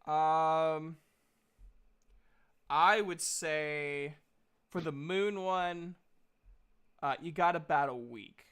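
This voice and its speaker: A man's voice: on the high side, extremely slow, pauses often, a bit bright, clear, resonant tone. A man narrating a tabletop roleplaying game as game master, explaining things in a hesitant, improvised way.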